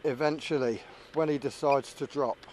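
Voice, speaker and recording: A man's voice: medium pitch, steady tone, normal speed, a little mellow, a little hiss or hum. A man giving casual, musing running commentary as a vlogger flying a drone, thinking aloud as he goes. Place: outdoors.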